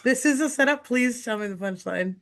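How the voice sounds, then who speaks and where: A mid-pitched speaker chats loosely among friends, firing off quick comebacks and laughing often. It sounds like an ordinary home video-call microphone. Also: a bit bright. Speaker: player. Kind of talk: casual chat.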